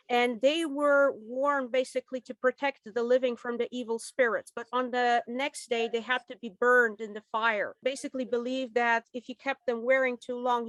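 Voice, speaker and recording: A woman's voice: a little high, a bit bright, dry recording. A woman giving an informative slide presentation over a video call. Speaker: club member giving a presentation.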